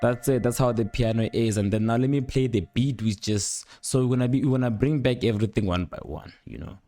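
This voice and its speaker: A young man giving a casual, unscripted music production tutorial, rambling playfully in slangy speech with excited interjections. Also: medium pitch, brisk. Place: indoor home studio at a computer. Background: loud instrumental beat and instrument sounds playing.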